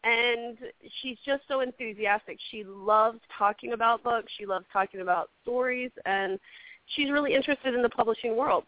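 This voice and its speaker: A woman's voice: mid-range voice, no room sound. This is a woman in her thirties, an author, chatting loosely as a radio interview guest and laughing easily. She sounds tinny and dry, as though she is heard over a phone. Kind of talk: casual interview chat.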